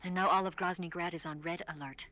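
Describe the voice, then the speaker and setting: A woman's voice: lowish voice, steady tone, quick pace, pushed and bright. A woman playing a capable, secretive young female spy in a video game, urgently guiding an ally. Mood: serious, urgent. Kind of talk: video game cutscene acting.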